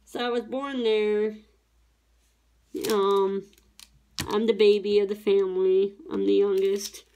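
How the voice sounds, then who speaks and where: A woman's voice: medium pitch, little pitch movement, slow, warm tone, slight background noise. A woman in her thirties from Tennessee, chatting casually and rambling as she works on a craft at home. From Tennessee, USA. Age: 30s. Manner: rambling, chatty, self-correcting. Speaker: diamond painting YouTuber. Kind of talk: casual chat while crafting. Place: home craft table, indoors.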